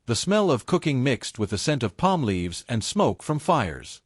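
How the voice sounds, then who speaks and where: A man's voice: mid-range voice, very animated, husky, no echo. Solemn male storyteller, dry close sound. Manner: solemn, portentous, measured short phrases. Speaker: storyteller, narrator. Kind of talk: scripted folktale narration.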